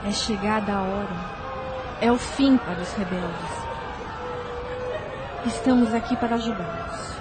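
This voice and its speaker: A woman's voice: mid-range voice, measured pace, long pauses, natural voice. A woman with a Brazilian accent playing a solemn spirit helper in an audio drama, speaking in a dramatic, declamatory way. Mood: solemn urgency. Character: solemn spirit helper announcing a world's purge. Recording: loud music and crowd behind.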